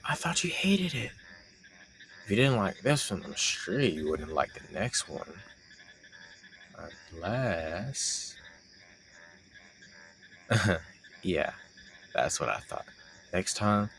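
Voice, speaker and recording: A man acts out a young male roommate with a low-pitched voice, bantering in a casual, teasing way. He speaks directly to the listener like a close friend hiding a crush, in a roleplay audio with slight background noise. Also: a bit bright, exaggerated voice. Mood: smug teasing. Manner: teasing, conversational, sarcastic banter.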